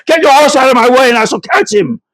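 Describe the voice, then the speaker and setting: A man's voice: very high voice, expressive, fast talker, a bit bright, no echo, pitched above the performer's own voice. A man voicing a brutal soldier on horseback, barking orders in a storybook reading. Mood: menacing.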